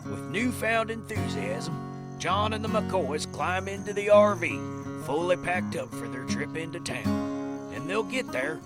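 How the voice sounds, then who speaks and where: A man's voice: sits high, unhurried, over loud music. A man narrating a comedy audio drama in a folksy, conversational storytelling style. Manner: animated, folksy, conversational asides.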